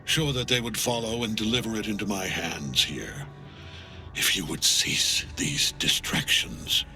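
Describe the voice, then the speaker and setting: Casting: weary old villain general, low and unhurried, portentous menace, music behind. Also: male voice, pushed and bright, full character voice. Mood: bitter. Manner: grave, portentous, deliberate. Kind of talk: video game villain acting.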